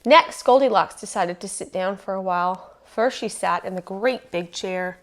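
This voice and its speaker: Woman narrator for children's read-aloud: medium pitch, emphatic, expressive, lingers on key phrases. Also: normal speed. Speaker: storyteller.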